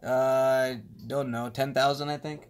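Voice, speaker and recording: A man's voice: medium pitch, level tone, measured pace, smooth. A man talking casually on a phone call, pleading with naive-sounding worry. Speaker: prankster call recipient playing along with a scammer.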